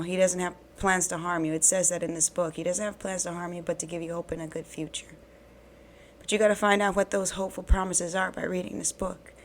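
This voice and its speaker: A woman with a slightly low, even voice speaks earnestly to her viewers, encouraging them in faith. She is hoarse from being sick, and faint noise sits under the recording. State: hoarse, recovering from illness. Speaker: bible reading channel host. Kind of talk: casual devotional talk to camera.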